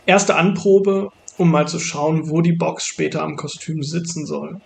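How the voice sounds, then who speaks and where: A man's voice: fairly high pitch, steady tone, unhurried, gravelly, a little mellow, a little hiss or hum. A man giving a casual voice-over as the maker in a hands-on build video.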